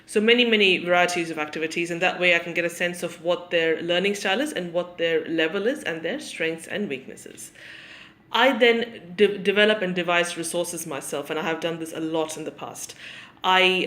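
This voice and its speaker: A woman, introducing herself as a teacher in a measured way, often pausing on "um" and listing things. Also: lowish voice, a bit bright. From Australia. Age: 30s. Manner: measured, with frequent ums and listing. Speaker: English teacher. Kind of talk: self-introduction to camera.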